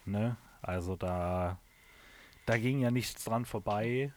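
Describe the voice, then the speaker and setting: A man's voice: lowish voice, slow. A man hosting a casual podcast chat among friends, leading the talk with many hesitations and fillers. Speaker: podcast host.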